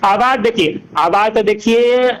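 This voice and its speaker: Male interviewee, high voice, Bhojpuri-belt Hindi accent, conversational, emphatic, often repeats points and checks the listener follows. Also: a little slow, fairly bright tone, low-quality recording. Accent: Bhojpuri-Magahi belt Hindi, eastern India. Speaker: singer being interviewed.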